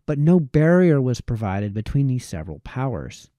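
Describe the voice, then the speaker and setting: A man reading a formal historical text aloud as a narrator, in measured, formal phrasing. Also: mid-range voice, very animated, mellow, no room sound. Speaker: reader, narrator.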